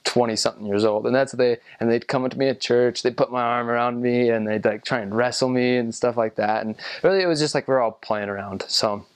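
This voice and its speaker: A young man and former missionary talking casually to the camera, rambling and halting with lots of ums. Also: mid-range voice, fairly even intonation, clean tone. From United States. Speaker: returned missionary.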